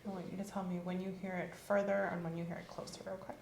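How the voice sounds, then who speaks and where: A woman speaking calmly and clinically as an examiner in an ASMR medical role-play, often dropping to a whisper. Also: on the low side, fast talker, gravelly, echoey room, slight background noise. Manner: calm, clinical, soft-spoken.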